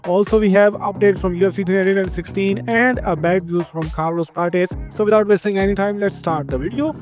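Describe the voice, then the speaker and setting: A man with an Indian accent hosting a scripted combat-sports news roundup, upbeat and quick. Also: high-pitched, steady tone, over music. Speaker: host of an MMA news channel.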